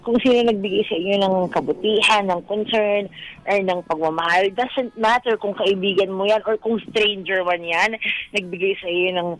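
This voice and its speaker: A man's voice: sits high, normal speed, a bit bright, low-quality recording. A man with a Filipino accent co-hosting a radio show, chatting casually and giving advice with English phrases mixed in. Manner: conversational, mixes in English phrases. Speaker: radio co-host. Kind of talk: casual radio talk, advising listeners.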